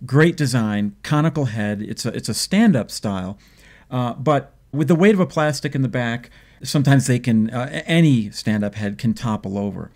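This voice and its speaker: A man in his fifties, hosting a fishing video, explains his tackle choices in a measured, thoughtful way with frequent ums and uhs, filmed indoors at a desk. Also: mid-range voice, darkish tone. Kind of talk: instructional fishing talk. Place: indoor home office.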